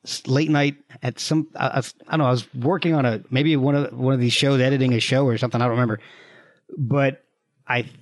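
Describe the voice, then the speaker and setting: A man's voice: medium pitch, steady tone, quick pace, no room sound. A man hosting a casual podcast interview, telling stories eagerly with stumbles and restarts. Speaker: podcast host.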